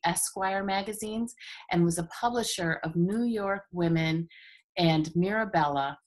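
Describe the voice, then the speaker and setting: A woman's voice: on the low side, rough recording. An older woman hosting an interview show, opening with a scripted welcome and introducing her guest over a video call from her home. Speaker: host. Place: home room with framed painting. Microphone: video call webcam.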